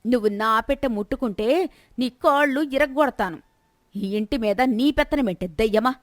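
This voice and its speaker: A woman plays a proud, bossy older mother-in-law from a storybook tale. Her voice is on the high side and recorded dry. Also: moderate pace, no room sound, lightly acted. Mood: threatening.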